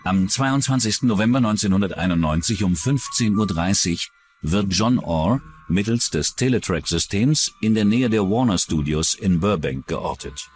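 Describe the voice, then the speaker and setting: A man's voice: sits low, lively intonation, normal speed, a little hiss or hum. A man reading scripted true-crime documentary narration as the narrator.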